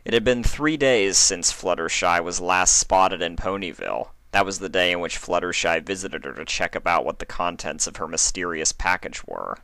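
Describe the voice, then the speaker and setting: A man reading a scripted story as narrator, with dramatic, deliberate delivery. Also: deep voice, sharp tone, no room sound. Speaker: fan fiction reader and narrator.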